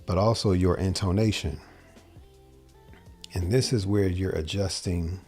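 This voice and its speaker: A man's voice: low voice, a little mellow. A man in his forties giving a casual guitar lesson from a small home studio, explaining in loose, rambling sentences that often correct themselves. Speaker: guitar teacher. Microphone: large dynamic mic on boom arm.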